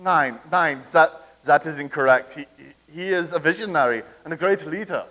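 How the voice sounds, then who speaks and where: A man playing a blustering dictator loyalist, high-voiced. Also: moderate pace, pushed and bright. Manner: blustering, stammers when challenged. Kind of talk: staged reading.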